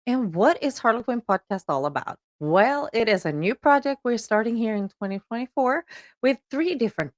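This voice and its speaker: A middle-aged woman with a Swedish accent hosts a solo podcast announcement, chatty and upbeat with plenty of ums. Her pitch swings up and down animatedly, and the recording is close and dry with no room sound. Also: dry recording. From Sweden. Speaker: podcast host.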